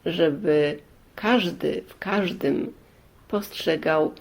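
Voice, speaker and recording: A woman storyteller narrates a folk tale at a slow, deliberate pace. Her voice sits fairly low and has a gravelly creak. She leaves dramatic pauses as she goes.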